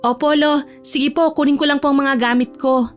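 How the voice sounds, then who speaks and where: A high, youthful female voice acted by a narrator for an audio drama. She is a cheerful granddaughter, polite and affectionate, with music playing underneath. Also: fairly even intonation, normal speed, lightly acted. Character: cheerful, caring young granddaughter, respectful to her grandfather. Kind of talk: Tagalog audio drama acting.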